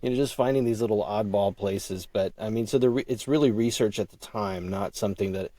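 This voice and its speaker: A man in middle age with a fairly low, very mellow voice. He talks casually, like a writer being interviewed on a podcast about his own work. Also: a little fast, no room sound. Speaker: podcast guest, audio drama creator and writer.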